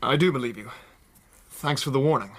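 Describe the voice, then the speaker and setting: Radio drama: a confident young gentleman landowner, mid-pitched, easy-going, courteous, sceptical. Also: fairly quick, husky. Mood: dry. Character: genial, confident young lord of the manor, sceptical.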